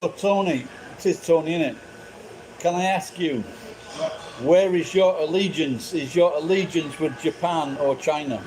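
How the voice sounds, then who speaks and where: A man's voice: on the high side, measured pace, darkish tone, slight background noise, rough recording. A man from England with a Sheffield accent, ranting bluntly and swearing in casual chat. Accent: Sheffield English.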